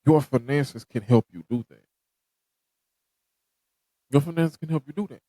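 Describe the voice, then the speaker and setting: A man's voice: medium pitch, a little slow, mellow, no room sound, poor audio. A man hosting a podcast, giving a direct, motivational talk that repeats its points. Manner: preachy, direct, repeats himself. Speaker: podcast host.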